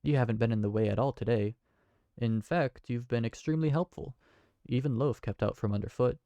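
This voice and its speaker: Guy playing a polite young tavern owner, a bit flustered, warm low-key voice, dry close mic. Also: a little fast, dry recording, muffled recording, lightly acted. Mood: earnest. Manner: polite, earnest, slightly flustered. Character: young man who just inherited a magical tavern. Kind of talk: fantasy audio drama acting.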